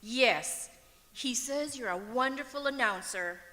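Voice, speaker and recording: A woman's voice: high-pitched, animated, measured pace, pushed and bright, exaggerated voice. A woman playing a polite, gullible young fiancée in an old-time radio comedy performed on stage. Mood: polite, admiring. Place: stage of a performing arts auditorium. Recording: echoey auditorium with long reverb.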